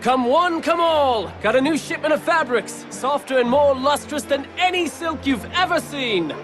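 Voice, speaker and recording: Exuberant street vendor, very high voice, calling out wares, faint music behind. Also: male voice, lively intonation, moderate pace, fairly bright tone. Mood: eager. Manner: hawking, calling out. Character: exuberant market hawker calling out fabrics for sale. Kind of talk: English game dub cutscene.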